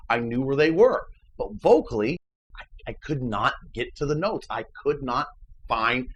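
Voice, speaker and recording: A man with a baritone voice, a musician hosting his channel, talks earnestly and emphatically in a casual motivational chat. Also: fairly bright tone, low-quality recording.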